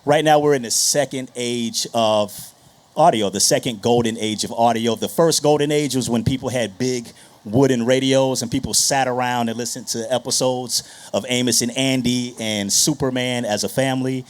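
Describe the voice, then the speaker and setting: A man's voice: medium pitch, fairly even intonation, moderate pace. A man speaking informally and conversationally to an audience as a panel moderator on stage. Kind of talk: casual stage introduction of a panel. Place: on stage at a live panel session.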